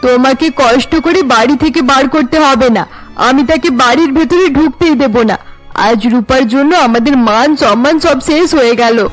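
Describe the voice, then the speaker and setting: A woman's voice: high-pitched, normal speed, soft background music, poor audio. A woman playing a harsh middle-aged mother obsessed with family honour in a cartoon, scolding melodramatically. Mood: angry, scolding. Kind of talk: cartoon voice acting.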